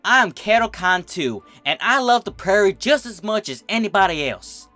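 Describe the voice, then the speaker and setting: A man's voice: sits high, very animated, normal speed, sharp tone, no echo, faint music behind, voice pushed up, exaggerated voice. A man voicing a small, young female calf, bold, sassy and defiant, in a storybook reading. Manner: defiant, crowing.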